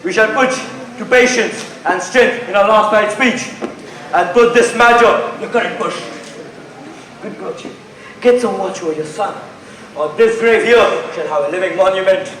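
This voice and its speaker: A man's voice: high-pitched, moderate pace, a bit bright, a little hiss or hum. A young man playing a theatrical courtly character in a school stage Shakespeare tragedy. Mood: urgent. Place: indoor stage in a hall. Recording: very echoey hall, distant mic, faint music.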